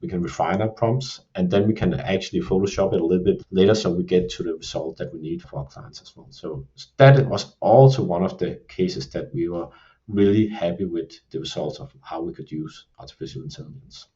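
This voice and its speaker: A man speaking English with a Danish accent, his voice low and mellow. He explains his points in a measured, steady way, often leaning on the filler "you can say". The audio sounds dull and a little muffled, like a plain podcast recording. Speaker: creative production lead. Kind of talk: podcast discussion.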